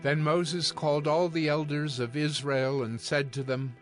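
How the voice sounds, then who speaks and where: A man narrating scripture from a script in a measured, solemn manner. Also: on the high side, level tone, music in the background.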